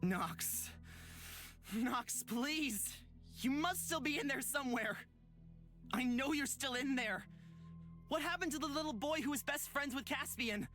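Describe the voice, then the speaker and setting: A woman voicing an earnest young protagonist in a visual novel game, speaking in deliberate, dramatic phrases. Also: sits high, a bit bright. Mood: desperate, pleading. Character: earnest young protagonist pleading with a possessed friend. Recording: music behind the voice.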